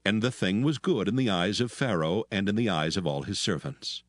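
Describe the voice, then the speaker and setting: A man's voice: fairly low pitch, normal speed, no room sound. A man narrating a dramatized audio Bible in a solemn, formal storytelling manner, recorded dry over music.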